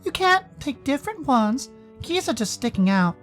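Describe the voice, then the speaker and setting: A man voicing an aloof, terse male furry animal in a visual novel, with slow, clipped replies and a faint jeer. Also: very high-pitched, sing-song, fairly bright tone, soft background music, pitched above the performer's own voice, full character voice.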